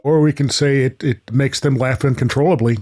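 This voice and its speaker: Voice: mid-range voice, fairly even intonation, brisk, a little mellow. A show host announcing scenes and chatting casually with a co-host.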